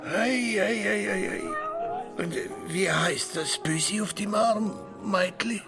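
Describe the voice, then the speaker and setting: Creaky, giggly Swiss German old grandpa. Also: male voice, high-pitched, over music, heavily acted. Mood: confused. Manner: halting, repetitive, chuckling. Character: forgetful, giggly 95-year-old rich grandfather.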